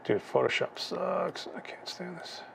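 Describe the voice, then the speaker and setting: Guy doing a live photo critique, kinda higher voice, going a bit hoarse, faint hum behind. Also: measured pace, fairly bright tone. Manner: chatty, thinks aloud with many restarts and "right?" checks. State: losing his voice. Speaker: photography livestream host.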